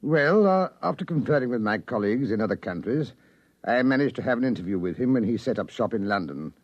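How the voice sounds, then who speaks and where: A man's voice: mid-range voice, lively intonation, quick pace, dry recording, close to an everyday voice. A man playing an indignant, dignified English medical chairman, formal and pompous, in 1950s radio drama style. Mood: affronted, formal. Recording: muffled vintage 1950s radio recording.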